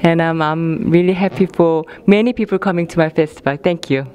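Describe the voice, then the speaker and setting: A woman from South Korea, the festival organizer, speaks in a somewhat low voice. She is friendly and pauses on small fillers. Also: steady tone, warm tone, slight background noise.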